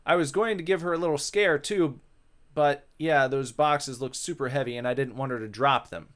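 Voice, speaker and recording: A man's voice: mid-range voice, moderate pace, a bit bright, lightly acted. A man voicing a cocky, lecherous male ghost in a grumbling, theatrical reading of a visual novel. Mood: sheepish.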